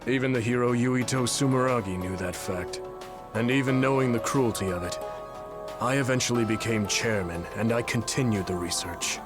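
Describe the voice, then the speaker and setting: An actor playing a weary, remorseful elderly leader in a video game, speaking in a measured, grave way. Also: male voice, medium pitch, natural voice. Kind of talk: dramatic video game voice acting. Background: music.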